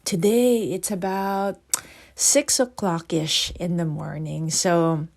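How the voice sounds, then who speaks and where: Husky-voiced Filipina podcast host. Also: female voice, on the low side, takes it slowly. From Philippines. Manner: chatty, asks listeners questions, animated asides. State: husky morning voice. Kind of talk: podcast monologue.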